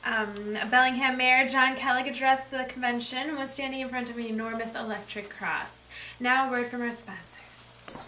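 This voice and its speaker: A perky female 1920s radio news anchor, played by a woman, with a higher-pitched voice. She reads her bulletins in period slang with the odd slip. The room is echoey and the mic is some way off, with noise behind. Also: steady tone, normal speed, pushed and bright, recorded from a distance. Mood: newsy. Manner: 1920s slang, reading from script with slips. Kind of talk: scripted 1920s news broadcast.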